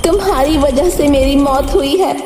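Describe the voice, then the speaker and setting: A woman's voice: sits high, lightly acted. A woman voicing a menacing, vengeful female ghost in a horror cartoon, drawing out her words. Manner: slow, drawn-out menacing delivery. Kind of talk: Hindi horror cartoon voice acting. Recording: cavernous echo, long reverb, background music.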